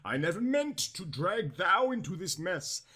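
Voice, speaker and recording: A man's voice: sits high, sing-song, measured pace, bright, forceful tone, breathy edge, voice pushed up, exaggerated voice. A man voicing a lovesick, mournful teenage vampire boy in florid, mock old-fashioned speech for a parody story. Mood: anguished, apologetic. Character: lovesick archaic-talking male teen vampire, melodramatic and mournful.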